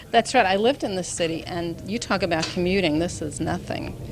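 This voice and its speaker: A woman's voice: on the low side, normal speed, slight background noise, low-quality recording. Enthusiastic middle-aged woman from Hungary. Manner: warm, enthusiastic, laughs easily. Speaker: communications manager. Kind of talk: casual interview. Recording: chatter from a busy crowd behind.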